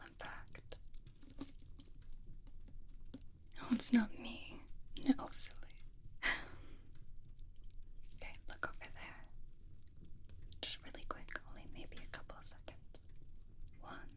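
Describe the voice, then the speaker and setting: A woman voicing a flirty, gossiping vampire in an ASMR roleplay, whispering softly and confidingly with hesitant pauses. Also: natural voice. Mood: playful, secretive. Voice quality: soft breathy whisper.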